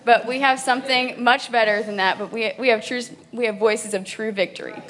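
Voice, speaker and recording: A woman in her twenties leading introductions at a church service, speaking in a relaxed, informal way. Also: fairly high pitch, brisk, very noisy. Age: 20s. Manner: relaxed, informal, with some ums. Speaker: program resident leading the introductions. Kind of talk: church presentation.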